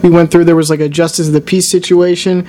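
A man's voice: on the high side, little pitch movement, fast, mellow, a little hiss or hum. A man hosting a casual radio chat, joking with a guest and drawing him out with questions. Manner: joking, chatty interviewer. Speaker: host.

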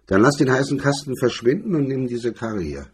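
Crime audiobook heavy, acted by a male narrator: mocking tough guy, raspy, slangy, sour. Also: mid-range voice, lively intonation, fairly quick, voice pushed up. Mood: brisk. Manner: sneering, slangy tough talk. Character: MacDonald, mocking, sour tough gangster man.

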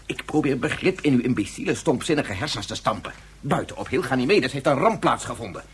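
An actor playing a proud, volatile physicist in a science fiction radio play, speaking formally and with exasperated scorn. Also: male voice, mid-range voice, expressive, fairly quick, slightly rough. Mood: furious. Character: proud, frustrated, imperious male scientist, volatile and menacing.

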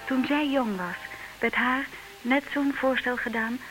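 A woman's voice: on the high side, measured pace, a bit bright, soft background music, poor audio. A woman playing a wry, independent-minded, slightly old-fashioned single lady in a vintage comic radio play, polite and dryly teasing. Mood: wistful, reflective.

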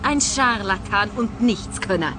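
An actress playing a contrary art expert's assistant in a radio play, blunt, scornful and dismissive. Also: female voice, mid-range voice, lively intonation, a little slow, music in the background, lightly acted.

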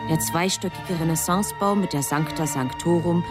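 A woman acting as the composed storyteller of a radio play, measured and deliberate in her narration. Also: lowish voice, music in the background, natural voice. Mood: measured, suspenseful. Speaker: narrator, also playing an angel.

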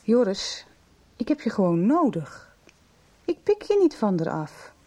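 A lonely schoolteacher in failing health for a radio drama. The voice is soft-edged and mellow at a middle pitch. The manner is intimate, forward and searching, with small hesitations. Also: female voice, very animated, a little slow. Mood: probing, intimate. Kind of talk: Dutch radio soap acting.

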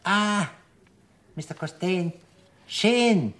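An actor playing a suave, sly restaurant owner with formal, elaborately polite speech in a 1960s German radio drama. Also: male voice, high-pitched, drawn-out words, a bit bright, heavily acted. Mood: silky, courteous.

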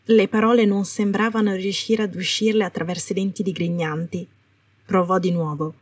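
Husky woman narrating a story. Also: medium pitch, flat delivery, normal speed. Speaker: podfic narrator. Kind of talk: scripted dramatic story narration. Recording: roomy, distant microphone.

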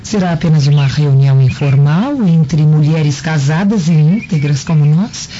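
Woman acting a mellow, coaxing Roman noblewoman. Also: low-pitched, normal speed, close to an everyday voice. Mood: gracious, coaxing. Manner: polished, coaxing. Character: gracious, persuasive Roman noblewoman, worldly hostess. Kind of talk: radio drama acting. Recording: muffled lo-fi, faint noise.

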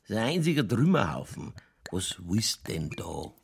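Gravelly, husky, tipsy Bavarian police chief. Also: male voice, lowish voice, very animated, moderate pace, exaggerated voice. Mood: brooding. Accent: Lower Bavarian. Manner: rambling, folksy, crude, tipsy. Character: drunken, ranting, world-weary Bavarian police chief. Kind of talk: naturalistic radio crime drama dialogue.

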